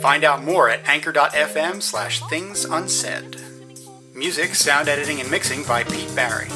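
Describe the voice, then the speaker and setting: A man announcing scripted podcast credits in a smooth, mock-grand way. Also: a little high. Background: music.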